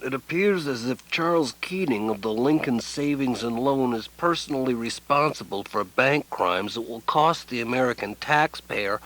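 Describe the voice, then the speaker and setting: A man's voice: medium pitch, a bit bright, lightly acted, low-quality recording. A man playing a hesitant, deferential questioner addressing a president in a satirical radio play.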